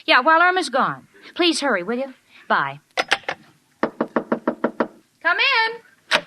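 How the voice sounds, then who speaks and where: A woman's voice: high voice, huge pitch swings, slow pace, heavily acted. An actress playing a sensible, exasperated young woman who coaxes and worries with wry patience, in a 1940s radio sitcom. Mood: brisk, concerned. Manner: wry, coaxing, concerned. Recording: slightly noisy vintage 1940s radio recording.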